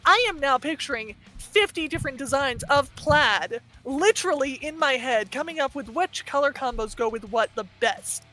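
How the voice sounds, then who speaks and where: Excitable woman with a Michigan accent. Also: high voice, normal speed, hardly any pauses, faint music behind. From Texas, United States. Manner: excitable, bursts into loud protests. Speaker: streamer host. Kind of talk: casual stream chat.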